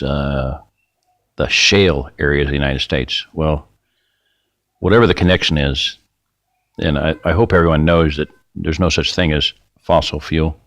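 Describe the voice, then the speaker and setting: Middle-aged guy with a super deep voice rambling folksy over his screen, going a bit raspy, dry close sound. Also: fairly bright tone, dry recording. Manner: rambling, folksy, self-interrupting. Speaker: video host. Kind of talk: rambling screen-share talk.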